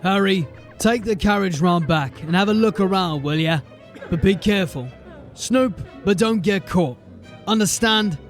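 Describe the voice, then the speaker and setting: A wary fantasy watch officer, pitched fairly high for a male voice. He speaks bluntly and with dry, guarded sarcasm, with faint music underneath. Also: measured pace. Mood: watchful. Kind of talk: fantasy audio drama acting.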